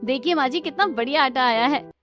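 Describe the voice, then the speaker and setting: A woman's voice: sits high, a little fast, a bit bright, faint music behind. A woman playing a polite, determined young daughter-in-law in a cartoon story, sincere in manner. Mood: hopeful.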